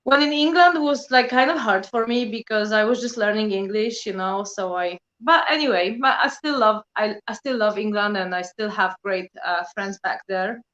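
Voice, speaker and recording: A woman's voice: medium pitch, normal speed, few pauses, dull audio. A woman in her thirties from Poland, with a Polish accent, chatting casually as a guest and telling stories with lots of fillers. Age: 30s. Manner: animated storytelling, many fillers like "you know". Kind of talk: casual chat.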